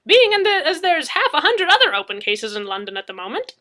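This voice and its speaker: A flippant man in an audiobook story, put on by a female reader, pitched very high and loud. He teases with a lively, sarcastic lilt. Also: a little fast, heavily acted. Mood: sarcastic, amused. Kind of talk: dramatic audiobook character reading.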